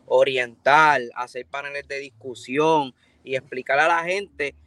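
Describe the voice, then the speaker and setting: A man's voice: medium pitch, steady tone, unhurried, fairly bright tone, dry recording. A Puerto Rican man, a breakdancer guest, speaking frankly and informally in a casual interview. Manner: frank, informal street talk.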